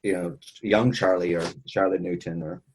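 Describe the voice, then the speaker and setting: A man's voice: deep voice, fairly even intonation, normal speed, crowd noise, poor audio. A man hosting a podcast in a casual, conversational discussion style. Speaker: podcast host.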